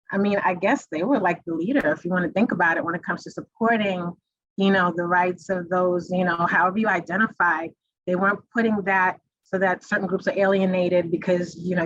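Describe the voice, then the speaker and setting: A woman's voice: on the low side, a bit bright, dry recording. Woman panelist rambling casually, muffled dry sound. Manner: chatty, many fillers like um and you know. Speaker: alumni panelist. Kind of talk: panel discussion. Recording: dull dry lo-fi audio.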